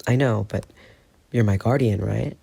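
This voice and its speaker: A man's voice: fairly low pitch, measured pace, vocal fry, warm tone, husky, exaggerated voice. A man voicing a defiant, guilt-ridden teenage boy who talks defensively and stammers when upset.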